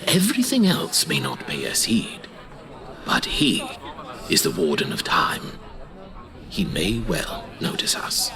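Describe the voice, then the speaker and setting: An actor playing a fussy, kindly old archivist and teacher with a hesitant, theatrical way of talking, in a fantasy audio drama. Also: male voice, deep voice, wildly swooping pitch, takes it slowly, crowd noise, heavily acted. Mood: anxious, kindly. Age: older. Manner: hesitant, theatrical, sometimes breathy.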